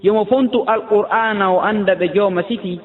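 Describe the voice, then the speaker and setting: A man's voice: high voice, steady tone, quick pace. A man reciting a religious poem in a chanted style, as a poet addressing the faithful. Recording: dull lo-fi audio with long hall reverb.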